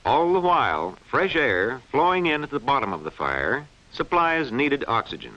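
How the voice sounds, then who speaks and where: A man reads scripted instructional film narration in a clearly enunciated, didactic way. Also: medium pitch, big pitch swings, moderate pace, pushed and bright. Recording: dull, band-limited vintage film soundtrack.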